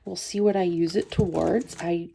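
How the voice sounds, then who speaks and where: A woman's voice: fairly low pitch, steady tone, normal speed, vocal fry, mellow, slight background noise. A woman with a cold and a sore throat, chatting casually through a budgeting vlog in a friendly, rambling way. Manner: friendly, rambling, frequent ums. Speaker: budgeting vlogger.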